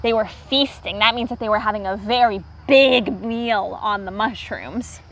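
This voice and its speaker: A woman teacher reading aloud to young children, pausing to explain words simply and repeating herself for clarity. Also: medium pitch, fairly bright tone.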